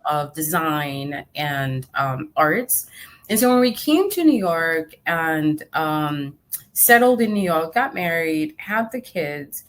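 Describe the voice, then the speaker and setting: Haitian-accented woman telling her life story, kinda low for a woman, lots of ums and laughs, video call. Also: expressive, slow. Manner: chatty, many fillers and laughs, acts out quotes. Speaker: guest, arts nonprofit director. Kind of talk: casual interview storytelling. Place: home room, video call.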